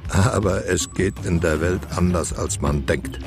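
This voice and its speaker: A man's voice: sits low, a little slow, vocal fry, over music. A man playing a sardonic narrator in a radio drama, mocking in rhymed, ballad-like lines. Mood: mocking, sly. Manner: mocking, rhyming delivery. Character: sardonic, mocking male balladeer commenting on the hero.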